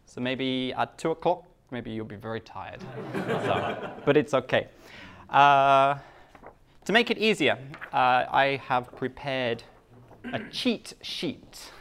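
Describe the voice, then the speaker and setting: British male guest speaker, unhurried, plain words, self-mocking humor. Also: medium pitch, expressive, a bit bright, a little hiss or hum. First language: English. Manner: simple slow English with self-mocking jokes. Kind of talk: live talk to a Japanese audience.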